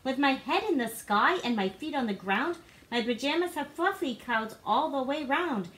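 A woman's voice: high-pitched, lively intonation, normal speed, fairly bright tone, poor audio. A woman reading rhyming storybook text aloud for young children, playful and theatrical in her delivery. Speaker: guest co-reader. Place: living room indoors. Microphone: device camera a short distance away.

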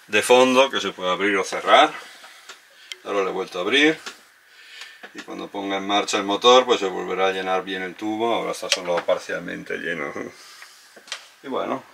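A low-pitched man with a bright, forceful voice, talking casually as he walks through boat repairs. He is a non-native speaker whose first language is Italian, chatty and self-deprecating. Faint outdoor ambience sits behind him. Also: normal speed, creaky, long pauses, a little hiss or hum. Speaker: sailing vlogger. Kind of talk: casual explaining while working. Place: aboard a sailboat.

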